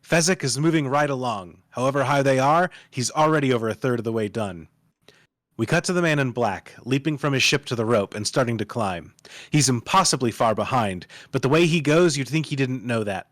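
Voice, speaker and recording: Casting: male table-read narrator, medium pitch, delivering screen directions dramatically, close dry sound. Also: animated, brisk, a bit bright, no room sound. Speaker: narrator reading stage directions.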